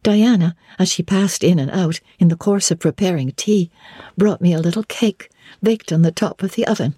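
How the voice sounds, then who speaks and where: A woman narrating a classic novel as a dramatic reading, with measured, deliberate and formal phrasing. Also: lowish voice, dark tone, breathy edge, dry recording, studio quality. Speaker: audiobook narrator.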